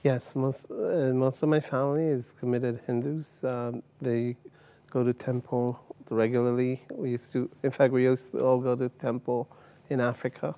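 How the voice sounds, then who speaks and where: A man from Uganda in his fifties, telling his life story as a guest on a TV studio set, halting with many fillers and restarts. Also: mid-range voice, flat delivery, warm tone. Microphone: lapel mic.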